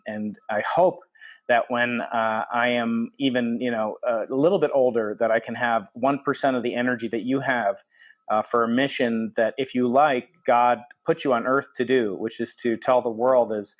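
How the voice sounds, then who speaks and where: A man hosting a podcast interview, speaking earnestly with many fillers and restarts. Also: mid-range voice. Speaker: podcast host.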